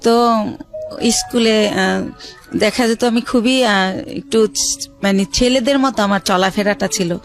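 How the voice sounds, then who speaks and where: A woman's voice: on the high side, faint music behind. Hesitant woman recounting her past. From Bangladesh. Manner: hesitant, many pauses and fillers. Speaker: guest telling her life story. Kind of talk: personal storytelling on a radio show.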